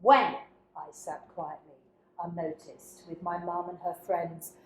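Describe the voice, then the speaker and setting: A woman giving a deliberate, dramatic spoken-word reading, with emphatic phrase openings that fall away to quieter endings. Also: on the low side, steady tone, slight background noise. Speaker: dramaturge reading a participant's written piece. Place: echoey indoor workshop room. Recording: echoey room.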